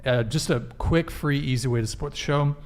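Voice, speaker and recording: A man's voice: medium pitch, a little fast, darkish tone. A man hosting a podcast panel, running through announcements and questions with joking asides. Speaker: podcast host. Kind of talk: casual panel talk.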